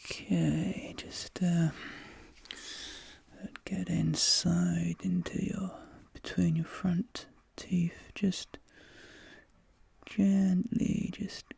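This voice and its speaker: An ASMR performer speaks as a reassuring dentist in a soft, very breathy whisper. The delivery is slow and soothing, with short repeated phrases. A strong crackle runs through the voice. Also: mid-range voice, level tone, dry recording. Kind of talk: ASMR role play, soft whispering with personal attention.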